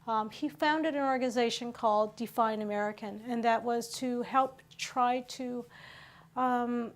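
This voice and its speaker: A woman hosting a local news program, talking through the stories conversationally with frequent pauses and fillers. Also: on the high side, fairly even intonation.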